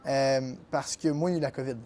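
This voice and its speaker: A man's voice: medium pitch, steady tone, quick pace, mellow, a little hiss or hum. A young man with a Québécois French accent answering interview questions in an easygoing, hesitant way with many fillers. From Quebec. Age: young adult. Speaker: guest, college tennis player.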